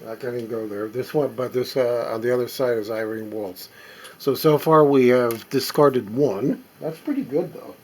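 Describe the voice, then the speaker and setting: A man casually talking to himself as he sorts a stack of old records, reading the labels aloud in a halting, musing way. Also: medium pitch, darkish tone, a little hiss or hum. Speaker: record collector. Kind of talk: casual running commentary while sorting records.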